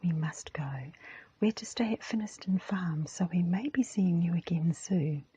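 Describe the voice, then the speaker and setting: A woman voicing a polite, confident, cheerful schoolboy in a storybook, in a breathy whisper. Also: on the low side, a little slow, dark tone. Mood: good-humoured. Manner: polite, good-humoured.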